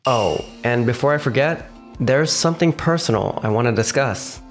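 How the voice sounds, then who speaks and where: An earnest young businessman, played by a man in a romcom manga dub. His voice is medium in pitch and explanatory, set over background music. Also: animated, normal speed, natural voice. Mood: firm. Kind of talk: scripted manga dub acting and narration.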